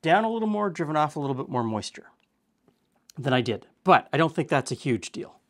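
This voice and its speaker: A man's voice: a little high, a little fast, a little hiss or hum. A middle-aged man, hosting a casual home cooking show and chatting through the steps with easy fillers. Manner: relaxed, chatty, thinking aloud with fillers.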